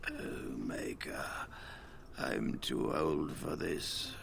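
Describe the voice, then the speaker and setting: An actor playing a weary, dignified elderly male mage leader in a video game, speaking in a hushed, gravelly voice. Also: rumbling low, extremely slow, a little hiss or hum, heavily acted. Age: older. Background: game music.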